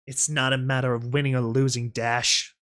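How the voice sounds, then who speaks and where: A woman's voice: very deep voice, flat delivery, moderate pace, fairly bright tone. A woman voicing a defiant, desperate female pony rebel leader in a story, earnest, resolute and commanding. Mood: quiet resolve.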